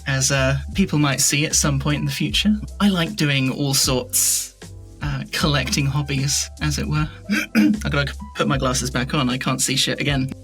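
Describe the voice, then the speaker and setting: A woman's voice: extremely low pitch, moderate pace, fairly bright tone, faint music behind. A woman giving a casual interview as a podcast guest, candid and wry in her way of talking. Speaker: podcast guest, voice actor and audio drama creator.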